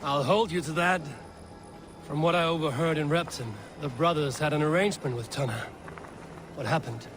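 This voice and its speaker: An actor playing a blunt, steady Viking warrior in a video game, with a terse, firm delivery. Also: male voice, fairly high pitch, normal speed, soft background music, close to an everyday voice. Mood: dry. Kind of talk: video game character acting.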